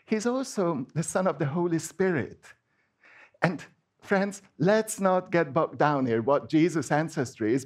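A man with a Hungarian accent, a native Hungarian speaker, giving an informal sermon in a chatty, hesitant way with many restarts. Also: fairly high pitch. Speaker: preacher.

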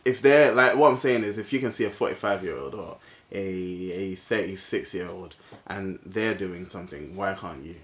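Lively twenty-something man, echoey room. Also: low voice, normal speed. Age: 20s. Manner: animated, laughs often. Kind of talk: casual chat. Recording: echoey room with faint noise.

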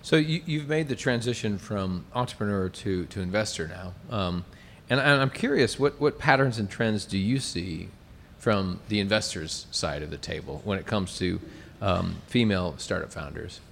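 A man hosting an interview, asking questions in a halting way with many restarts. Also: sits low, lively intonation, creaky, a bit bright, a little hiss or hum. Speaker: interview host.

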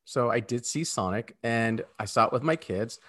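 A man's voice: medium pitch, lively intonation, moderate pace, fairly bright tone. A man co-hosting a podcast in casual, opinionated chat, emphatic and repeating phrases for stress. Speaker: podcast co-host.

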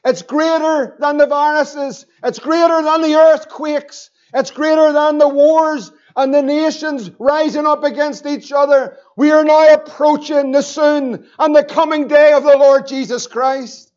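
A male pastor with a Northern Irish accent, preaching a fervent sermon, repeating phrases and slowing down for emphasis. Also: very high voice, steady tone, a little mellow, highs cut off.

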